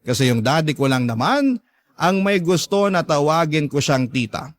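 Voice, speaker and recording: A man's voice: on the high side, lively intonation, normal speed, no echo. A man with a Filipino accent narrating a first-person story as a radio host, in an earnest, confiding and polite way.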